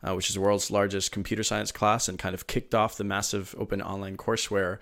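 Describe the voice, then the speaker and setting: A man in his thirties from Venezuela, with a slightly low voice. He tells his story eagerly in a loose, rambling way, full of "uh"s and self-corrections. It sounds like a relaxed interview conversation. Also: fairly quick. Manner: eager, rambling with many fillers and restarts. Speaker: podcast guest.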